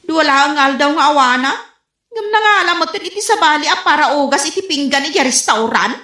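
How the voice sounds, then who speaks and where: Woman playing excitable comedy lady, high voice going up n down, radio drama, echoey. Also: brisk. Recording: roomy, echoing sound.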